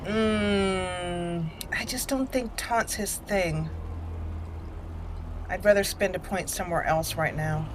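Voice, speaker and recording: A woman's voice: on the low side, slow, pauses often, noisy background. A woman giving casual let's play commentary, chatty and thinking aloud as she plays. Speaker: gamer narrating a video game roleplay playthrough. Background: game music behind the voice.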